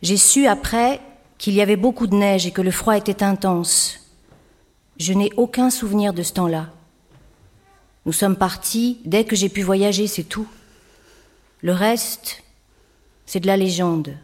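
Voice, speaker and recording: A woman reading a first-person literary text in an even, recounting manner. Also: mid-range voice, measured pace, long pauses. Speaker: reader.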